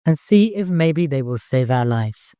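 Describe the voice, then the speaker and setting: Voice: medium pitch, expressive, measured pace, clear, resonant tone, dry recording, studio quality, lightly acted. A narrator voicing a fierce young woman. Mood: wicked teasing. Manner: bold, forceful, insistent.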